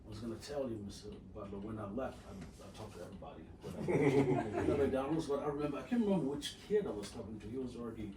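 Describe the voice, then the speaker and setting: A man's voice: medium pitch, expressive, quick pace, few pauses, crowd noise. A man sharing in a community circle, hesitant and emotional as he searches for words. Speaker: circle participant. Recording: echoey room, distant mic, background noise.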